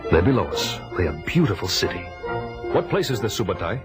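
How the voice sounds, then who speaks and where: Barbarian hero: lowish pitch, grave and bold, theatrical storytelling, heavy score behind. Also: male voice, sing-song, a little fast, over music. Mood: bold, curious. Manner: grave, theatrical, archaic diction. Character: hardy, gruff barbarian warrior recounting his adventures. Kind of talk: full-cast audio drama acting and first-person narration.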